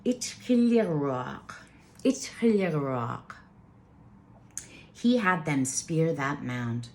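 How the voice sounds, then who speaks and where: A woman storyteller reading aloud with informal asides, halting and careful over names. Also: low-pitched, sing-song, a little mellow.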